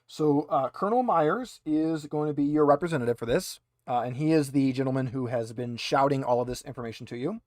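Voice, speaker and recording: A man's voice: medium pitch, fairly quick, hardly any pauses, a little mellow, no room sound. Casual male game master, dry close sound. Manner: conversational, wry asides. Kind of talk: casual tabletop game narration.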